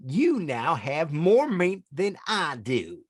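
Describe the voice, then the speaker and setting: Man acting a slow, smug lovestruck butcher. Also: a little high, huge pitch swings, slightly rough, dry recording, clean studio sound, full character voice. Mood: smug, teasing. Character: smug lovestruck male butcher, flirtatious and wisecracking. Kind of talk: old-time radio comedy acting.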